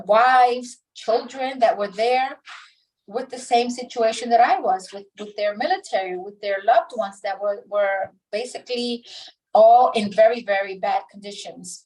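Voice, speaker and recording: Interview guest: middle-aged woman from the Dominican Republic, higher voice, halting reflective delivery, recorded off-mic. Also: recorded from a distance, low-quality recording. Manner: halting, many restarts and pauses. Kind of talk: reflective personal storytelling.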